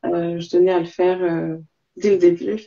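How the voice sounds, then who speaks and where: A woman's voice: on the low side, level tone, moderate pace, vocal fry, dark tone, a little hiss or hum. A woman in her forties from southern France, chatting as an interview guest over a lo-fi video call. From Marseille, southern France. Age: 40s. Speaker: interview guest, entrepreneur and school coach. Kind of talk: casual interview conversation.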